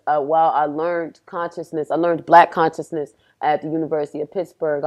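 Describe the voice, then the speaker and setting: A young adult woman, a rapper guest, from Pennsylvania and raised in Texas, talking casually and acting out what people said. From Pennsylvania, grew up in Houston, Texas. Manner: animated storytelling, acts out quotes with rising pitch. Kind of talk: casual podcast conversation.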